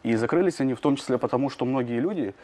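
A man, a journalist, answering in a studio interview, talking quickly with many hesitations and fillers. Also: mid-range voice, darkish tone. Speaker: journalist guest.

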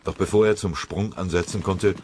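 Male audiobook narrator, low voice, dramatic scripted war narration, light battle noise underneath. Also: normal speed, darkish tone.

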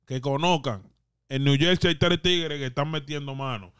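A man's voice: a little high, normal speed, a bit bright, smooth, no room sound, clean studio sound. A man in his thirties with a Dominican Spanish accent, debating casually and emphatically, repeating phrases and mixing in English. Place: small indoor podcast studio. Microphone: close studio microphone on boom arm.

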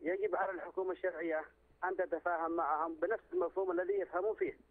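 A man's voice: high-pitched, big pitch swings, rapid-fire, creaky, no room sound. Man calling in by phone, pushy and repetitive, phone-line sound. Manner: insistent, repeats phrases, many restarts. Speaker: phone-in caller. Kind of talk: political opinion on a call-in show. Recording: dry tinny phone line.

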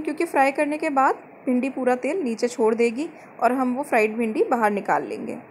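A woman giving calm step-by-step cooking instructions as the host of a home recipe channel, mixing English words into her Hindi. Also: sits high, slight background noise. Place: home kitchen.

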